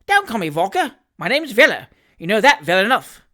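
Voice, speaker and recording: A reader doing a gruff young servant. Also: male voice, sits high, sing-song, fairly quick, pitched above the performer's own voice, heavily acted. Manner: gruff, blunt, shouting. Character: blunt young London manservant, fierce and gruff.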